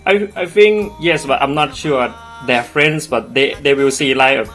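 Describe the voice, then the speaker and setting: A man's voice: medium pitch, fairly bright tone, soft background music. A man with a Thai accent chatting casually, searching for words and restarting often in English. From Thailand. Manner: halting, searches for words in second language. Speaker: podcast co-host. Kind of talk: casual chat.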